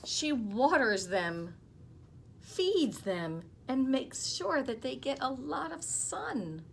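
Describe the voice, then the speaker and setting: A woman in her fifties reads a picture book aloud to children, expressively and unhurriedly, with soft explaining asides. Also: sits high, sing-song, takes it slowly. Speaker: school storytime reader. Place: small office, indoors. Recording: clearly noisy small-room recording.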